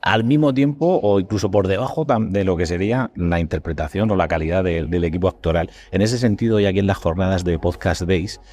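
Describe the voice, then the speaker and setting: A man's voice: sits low, brisk, few pauses, a little mellow. A man with an accent from Spain hosting a casual interview, asking long, wordy, reflective questions. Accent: Spanish from Spain. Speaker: podcast host.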